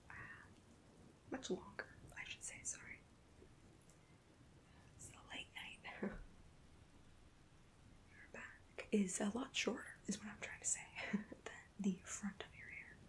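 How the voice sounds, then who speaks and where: A young woman whispering gently and breathily in a reassuring, softly hesitant ASMR hairdresser roleplay, close to the microphone. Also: medium pitch, low-quality recording. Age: young adult. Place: indoor room at home.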